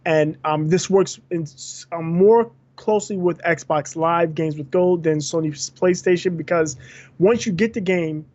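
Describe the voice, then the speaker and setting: A man's voice: on the high side, measured pace, a little mellow, no room sound, lo-fi sound. A man co-hosting a gaming podcast, going through news items with frequent fillers and self-corrections. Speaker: podcast co-host.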